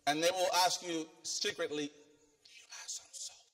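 Filipino preacher in an echoing church. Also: male voice, fairly high pitch, normal speed. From Philippines. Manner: animated, walks the stage, asks the congregation questions. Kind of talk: sermon. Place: church sanctuary, indoors, with congregation. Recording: long church reverb.

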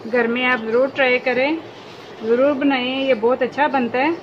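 A woman walks viewers through a recipe in simple, encouraging steps. Her voice sits high and carries room echo from a home kitchen. The sound is muffled, with steady background noise. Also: normal speed. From Pakistan. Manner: simple, repetitive, encouraging instructions. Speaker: cooking channel host. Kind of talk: home cooking tutorial. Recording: echoey, dull, noisy home recording.

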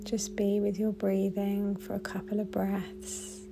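A woman guiding a body scan meditation in a gentle, soothing, unhurried way with long pauses. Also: medium pitch, monotone, vocal fry, noisy background. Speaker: meditation guide.

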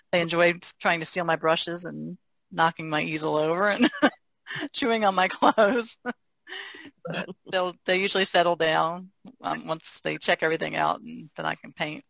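A woman's voice: fairly low pitch, fairly even intonation, unhurried, vocal fry, long pauses, a bit bright, no room sound. A woman from the Chesapeake Bay region chatting casually and easily as a painter. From Chesapeake Bay region, United States. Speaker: painter being interviewed. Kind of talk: casual chat.

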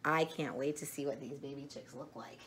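A middle-aged woman chatting to young children in an animated, simple way in a room at home. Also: rumbling low, fairly quick, a little hiss or hum. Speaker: storyteller showing children books and eggs. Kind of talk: casual chat to children.

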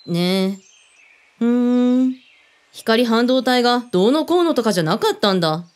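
An actress playing a brusque commanding boss of a covert team in an audio drama, speaking in casual, blunt masculine phrasing. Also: female voice, a little high, animated, moderate pace, darkish tone, lightly acted. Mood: probing, wry.

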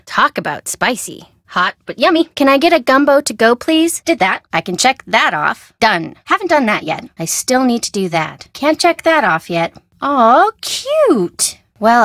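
An American actress playing a perky, curious, chatty young woman amateur sleuth in a video game, with an expressive, girlish manner. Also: mid-range voice, no room sound, clean studio sound. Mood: upbeat. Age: young adult. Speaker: voice actor. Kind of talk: video game character dialogue.

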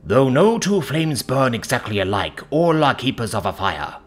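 A man playing a calm, devout male counsellor in an audiobook story, speaking in a measured, earnest, gentle but firm way. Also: mid-range voice. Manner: measured, earnest, preaching in metaphors.

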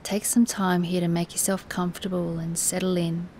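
A woman teaching guided relaxation in a soothing, soft, instructive way, with breathy, near-whispered moments and long pauses. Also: fairly low pitch, flat delivery, a little hiss or hum. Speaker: yoga nidra meditation teacher.

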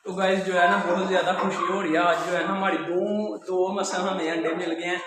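A man's voice: high voice, little pitch movement, brisk, fairly bright tone, a little hiss or hum. A young man, casually narrating chores in a village courtyard while filming himself on a phone held at arm's length. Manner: chatty, repeats filler phrases, addresses viewers as guys. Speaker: village life vlogger. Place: outdoor village courtyard with poultry.

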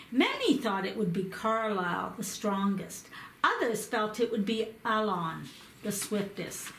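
A woman reading a picture book aloud, slow and careful in her storytelling. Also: fairly high pitch, a little hiss or hum. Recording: echoey room.

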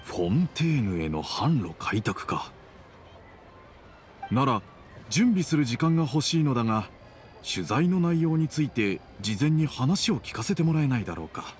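An actor playing a reserved middle-aged father and merchant in an anime game, with measured, formal speech. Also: mid-range voice, very animated, slight background noise, natural voice. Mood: businesslike.

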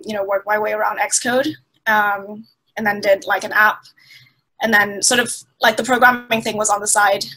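Bright-voiced young woman from Thailand. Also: medium pitch, rough recording. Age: 20s. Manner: many fillers and restarts, thoughtful. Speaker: guest. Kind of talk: conversational interview answers. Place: small indoor room. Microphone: laptop webcam on a video call.

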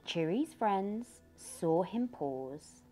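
A middle-aged woman reading a picture book aloud to young children in an expressive, teacherly way. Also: medium pitch, drawn-out words. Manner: asks listeners simple questions, teacherly. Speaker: children's storybook reader. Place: furnished living room by a fireplace. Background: music.

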